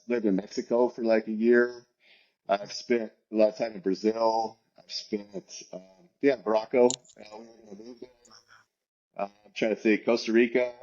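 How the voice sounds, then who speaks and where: A man's voice: mid-range voice, a little mellow, dull audio. A man in his forties from the United States, a guest talking casually in an interview, rambling with many fillers and restarts. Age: 40s.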